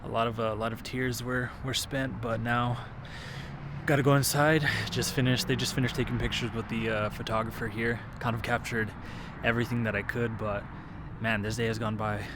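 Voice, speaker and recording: Young man, vlogger, casual to-camera talk, mid-pitched, rambling, filler words. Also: little pitch movement, normal speed, background noise. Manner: rambling, many fillers and restarts.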